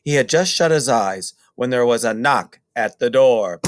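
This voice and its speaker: A middle-aged man narrating a children's story expressively, with deliberate, emphatic phrasing. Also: mid-range voice, animated, a little hiss or hum. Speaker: storybook reader.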